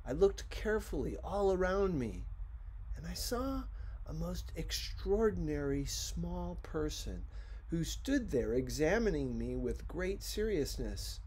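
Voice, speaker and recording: A man reading a storybook as an audiobook narrator, with dramatic, deliberate phrasing. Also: a little high, very animated, a little mellow, husky, rough recording. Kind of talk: expressive scripted storybook narration.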